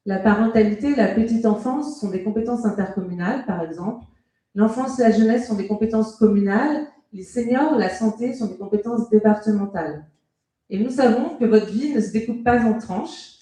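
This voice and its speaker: Echoey, mellow-voiced woman giving a political speech. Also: a little high, moderate pace. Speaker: local councillor giving a campaign speech. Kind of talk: prepared political speech at a public meeting. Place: indoor hall at a public meeting. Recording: very echoey public meeting room.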